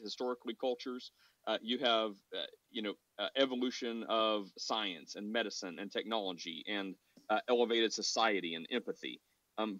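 A man's voice: mid-range voice, pushed and bright, no echo. A man hosting a casual podcast discussion, asking long rambling questions in a teasing manner. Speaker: podcast host. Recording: dull dry lo-fi mic.

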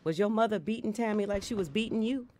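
A woman's voice: mid-range voice, fast talker, mellow, slight background noise, natural voice. An actress playing a frank, concerned, motherly social worker in an audio drama, earnest and plain-spoken.

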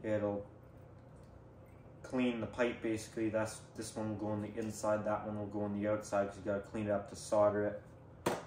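A man's voice: fairly low pitch, monotone, moderate pace. Casual rambling man in an echoey room. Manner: rambling, informal, thinking aloud. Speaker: DIY video host. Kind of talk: casual unscripted show-and-tell. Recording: echoey room, faint background noise.

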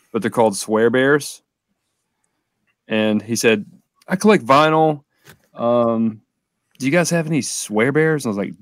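A man's voice: medium pitch, no echo, clean studio sound. A man co-hosting a toy podcast, chatting casually like a shop owner among fellow collectors. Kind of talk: casual group chat about collectible toys.

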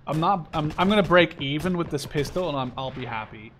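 A man's voice: a little high, animated, fairly quick, a bit bright, a little hiss or hum. A man in his twenties chatting casually over gameplay, exclaiming and swearing as he goes. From Canada. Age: 20s. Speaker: gamer. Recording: game gunfire behind the voice. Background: video game gunshots and vehicles.

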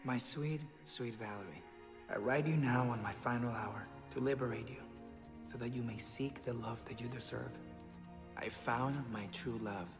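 A lovestruck young prince from an animated film, played by a man. He declaims with theatrical earnestness and romance. His voice rings in a long reverb, with prominent music behind. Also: medium pitch, moderate pace, close to an everyday voice. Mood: tender, resigned.

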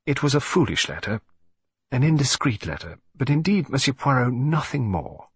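A man's voice: mid-range voice, sing-song, moderate pace, breathy edge, no echo, pitched above the performer's own voice. A man voicing a frightened young upper-class lady, hesitant and pleading. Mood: distressed. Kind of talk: audiobook reading.

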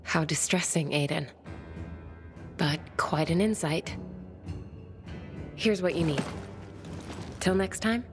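An actress playing a bookish, flirtatious woman survivor in a video game, speaking in articulate, measured phrases. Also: low voice, music in the background. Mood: thoughtful, curious. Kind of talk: video game cutscene acting.

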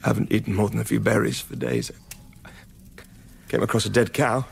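An actor playing a desperate, exhausted British gentleman survivor in a radio drama, muttering in broken, repeated phrases and calling out. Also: lowish voice, sing-song, a little fast, gravelly, slight background noise, full character voice. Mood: weary.

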